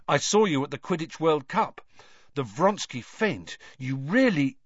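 A man's voice: a little high, animated, a little slow, slightly rough, no room sound, pitched above the performer's own voice. A man voicing an earnest teenage boy wizard who speaks urgently and coaxingly. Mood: eager. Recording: slightly dull lo-fi recording.

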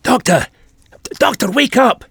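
A man voicing an excitable, exasperated, brawny young Highlander in an audiobook story. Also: a little slow, vocal fry, no echo, clean studio sound, full character voice. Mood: alarmed.

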